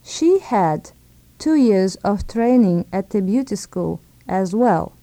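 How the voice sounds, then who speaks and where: A woman presents a scripted language lesson at an unhurried pace. Her tone is mellow and relaxed, and she sets out each word and phrase separately, often repeating it. The sound is dry and close, with no room around it. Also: medium pitch, no room sound. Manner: measured, word-by-word delivery with repetitions. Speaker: language instructor.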